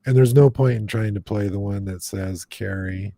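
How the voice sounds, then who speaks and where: A man's voice: lowish voice, moderate pace, gravelly, warm tone, no echo. A man hosting a casual paranormal livestream, talking to the viewers in the chat and leaning on fillers. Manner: talks to the chat audience, frequent fillers. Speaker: paranormal investigator host.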